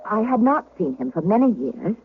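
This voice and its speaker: An actress playing a refined, anxiously placating duchess in a genteel, theatrical radio drama manner. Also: female voice, medium pitch, very animated, normal speed, close to an everyday voice. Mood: coaxing, anxious. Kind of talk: 1970s radio drama acting. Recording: tinny, narrow-band old radio recording, faint noise.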